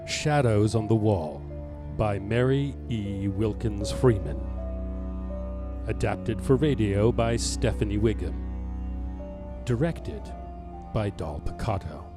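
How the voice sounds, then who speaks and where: Slow, low male announcer over music. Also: lively intonation. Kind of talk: old-time radio drama title announcement.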